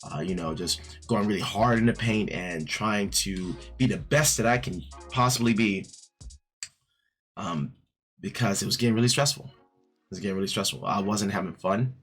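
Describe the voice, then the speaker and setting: A man reviewing an art book in a casual vlog, his voice raspy from just waking up, rambling with many fillers and restarts. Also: fairly low pitch, moderate pace. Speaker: art YouTuber. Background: soft background music.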